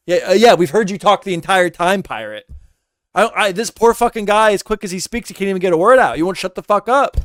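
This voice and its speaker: A man's voice: high voice, no room sound, studio quality. A man giving heated, casual commentary as a reaction streamer, ranting and exasperated, often repeating himself.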